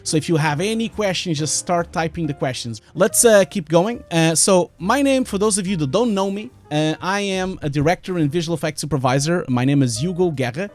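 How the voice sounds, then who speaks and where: A man's voice: on the high side, fairly quick, few pauses, soft background music. A man in his forties from Portugal with a Portuguese accent, presenting a webinar from his home studio in a chatty, self-interrupting way with frequent fillers.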